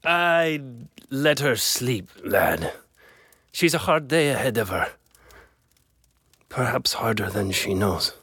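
An actor playing a grave, gently protective, fatherly man in a fantasy audio drama, speaking in a measured way. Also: medium pitch, big pitch swings, husky, exaggerated voice. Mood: grave, gentle.

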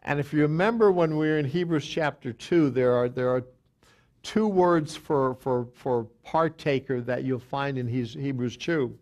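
A man's voice: medium pitch, normal speed, darkish tone. A man teaching a Bible study as a pastor, talking with frequent restarts and fillers and reading scripture aloud.

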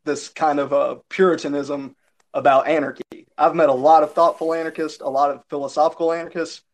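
A man with a Southern American accent, a podcast guest who talks in a thoughtful, well-spoken way with some halting restarts. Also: on the high side, level tone. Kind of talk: casual conversational interview.

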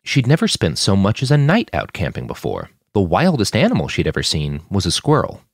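A man narrates a fiction podcast in a deep voice. His scripted delivery swings widely in pitch for drama. The recording is dry and close. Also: huge pitch swings, fairly quick, breathy edge, no echo.

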